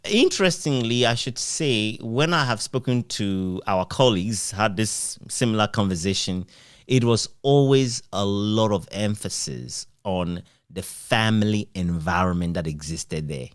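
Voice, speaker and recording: A Ghanaian man leads a casual conversation about shared memories. His voice is fairly low and resonant, recorded close and dry. He talks expressively and chuckles between phrases. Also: measured pace, no room sound. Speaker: interviewer.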